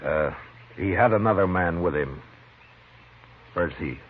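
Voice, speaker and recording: An actor playing a steady, grim American frontier marshal in a 1950s western radio drama, speaking in a terse, measured way. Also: male voice, low voice, husky, heavily acted. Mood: gentle, sober. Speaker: lead character and narrator. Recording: muffled, noisy 1950s radio recording.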